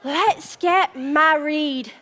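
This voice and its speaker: A woman's voice: sits high, very slow, sharp tone, full character voice. A woman playing a vain, self-absorbed young prince in a live stage pantomime, theatrical and pleased with himself. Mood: wooing, impatient. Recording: echoey theatre hall, long reverb.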